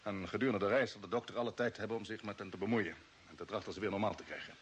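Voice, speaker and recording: An actor playing a decisive spaceship captain in a radio drama, giving orders with brisk authority. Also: male voice, fairly low pitch, steady tone, muffled recording, slight background noise, close to an everyday voice. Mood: commanding.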